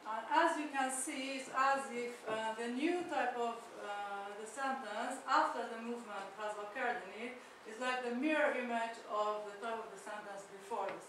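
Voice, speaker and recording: A woman's voice: a little high, normal speed, hardly any pauses, distant mic, background noise. Chatty woman lecturing in an echoey room. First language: Persian. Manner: chatty, self-deprecating, with many fillers and restarts. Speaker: academic speaker. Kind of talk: conference talk. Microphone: far camera.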